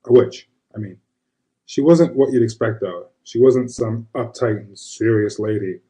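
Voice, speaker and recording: Man playing a mellow, confiding storyteller. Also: mid-range voice, unhurried, natural voice. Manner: conversational, confiding. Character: self-described square man recalling his witch grandmother. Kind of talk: first-person storytelling monologue.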